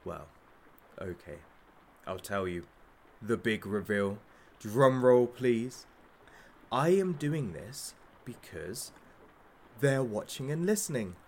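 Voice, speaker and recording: An actor playing a menacing, sardonic talk show host in a satirical audio drama, with a theatrical, taunting manner. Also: male voice, medium pitch, expressive, slow pace, long pauses, darkish tone, a little hiss or hum. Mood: menacing, gleeful.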